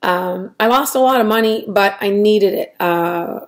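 A middle-aged woman with a raspy voice, talking through her weight-loss diary on a webcam at home, hesitant and full of ums. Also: medium pitch, unhurried. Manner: rambling, hesitant, many ums and restarts. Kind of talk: casual diary vlog. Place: small room at home, indoors. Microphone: webcam at desk.

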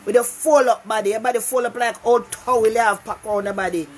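A woman's voice: on the high side, fairly even intonation, brisk, a little mellow, slightly rough. An older Jamaican woman hosting a casual live-stream talk show, chatting animatedly with viewers from her living room and repeating herself for emphasis. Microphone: phone camera close up.

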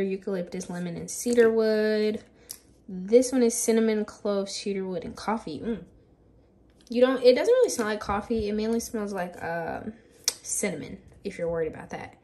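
A woman's voice: medium pitch, darkish tone. A young woman in her twenties with a congested, nasal voice from a cold, chatting casually in a rambling way for her hair care vlog, recorded on a phone in a small bathroom. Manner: rambling, lots of fillers, chatty. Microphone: phone or camera on a counter.

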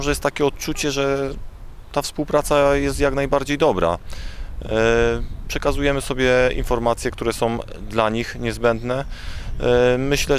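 A man in his thirties giving hesitant, formal interview answers as a press officer. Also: mid-range voice. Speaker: fire brigade press officer. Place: outdoors, fire station yard. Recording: faint outdoor ambient noise.

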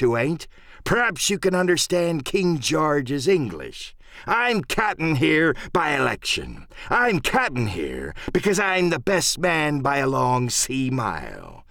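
A man acts out an old pirate captain from a storybook, domineering and sly. The voice is raised and swoops widely, with deliberate, blustering seafaring dialect. It sounds close and dry. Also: fairly high pitch, vocal fry, a bit bright, no echo, voice pushed up, heavily acted. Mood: scornful, commanding. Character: domineering old one-legged male pirate sea cook, menacing, cunning.

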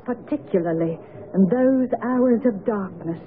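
An actress playing a solemn caretaker's wife in a radio drama, formal and grave in manner. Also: female voice, medium pitch, measured pace, close to an everyday voice. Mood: solemn foreboding. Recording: noisy phone-like vintage radio broadcast.

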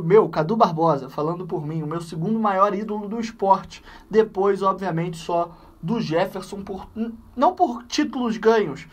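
A man in his twenties hosting a football fan channel, giving voice-over commentary in an emphatic way, stretching key phrases slowly. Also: high voice. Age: 20s. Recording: voice somewhat distant from the mic.

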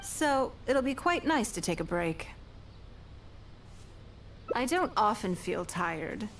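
An actress voicing a poised, wealthy female leader in a game, speaking in a measured, refined, self-assured way. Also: mid-range voice, big pitch swings, a little hiss or hum. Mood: composed. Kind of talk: game character dialogue.

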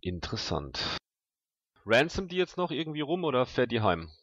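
A man's voice: mid-range voice, big pitch swings, normal speed, fairly bright tone, no echo, lo-fi sound. A man playing a tabletop role-playing game, chatting casually with the game master.

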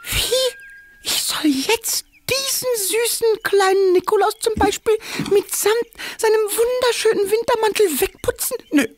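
A woman voicing an eager, impatient, childlike little boy king in a children's cartoon, with expressive exclamations. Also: extremely high pitch, unhurried, background noise, full character voice. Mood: earnest. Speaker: main character of children's cartoon. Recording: music under the voice.

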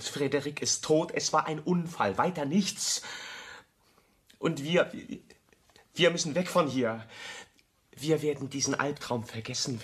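An anguished young lover, halting. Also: male voice, a little high. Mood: pleading. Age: young adult. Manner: halting, repeats phrases, pleading. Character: young honest architect, anguished, self-doubting lover. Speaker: actor in a radio drama. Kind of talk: German radio play acting, intimate dialogue.

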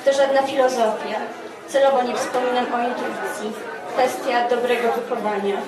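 A young woman reads poetry aloud in measured phrases. Her voice is high, and it carries from a distance through an echoing room with an audience present. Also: fairly even intonation, a loud crowd. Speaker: poet reading own work at a festival. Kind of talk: literary poetry reading. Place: dark stage at a live poetry event, indoors. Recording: roomy live stage sound, distant, audience around.

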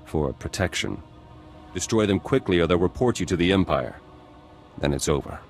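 An actor playing a hard, bitter resistance fighter in a video game, speaking in a terse, grim manner. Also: male voice, low-pitched, animated, normal speed, gravelly. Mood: wary. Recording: music playing behind the voice.

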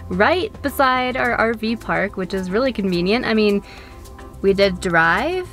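A woman's voice: mid-range voice, animated, unhurried, a bit bright, faint music behind. A woman in her twenties chatting casually to a camera as a travel vlogger. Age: 20s. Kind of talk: casual vlog chat to camera. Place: inside a truck and outdoors at a campground. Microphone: camera held at arm's length.

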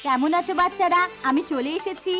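A woman's voice: extremely high pitch, a little fast. A woman voicing a cheerful, friendly schoolteacher in an educational cartoon, speaking politely to children. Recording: background music under the voice.

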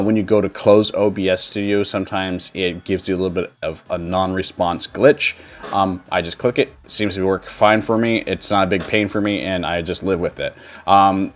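A man in his thirties giving a casual software tutorial as a streamer, explaining things in an informal, rambling way. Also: fairly low pitch. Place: indoor home streaming setup.

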